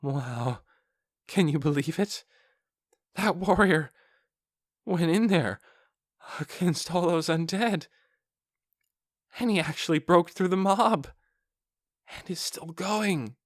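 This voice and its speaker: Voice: medium pitch, slow pace, pauses often, dry recording, clean studio sound, voice pushed up. A man voicing an awestruck, shaken town guard in an audiobook, speaking in incredulous questions and exclamations. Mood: awed, trembling.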